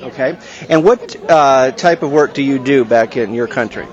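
A man's voice: medium pitch, normal speed, lo-fi sound. A man interviewing a guest outdoors, friendly and agreeing. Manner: friendly, agreeing, many "mm-hmm"s. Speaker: interviewer, agricultural journalist. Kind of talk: conversational interview.

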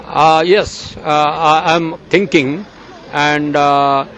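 An older man from Bangladesh, an agricultural journalist, giving slow, earnest interview answers outdoors. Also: on the high side, steady tone, a bit bright, lo-fi sound.

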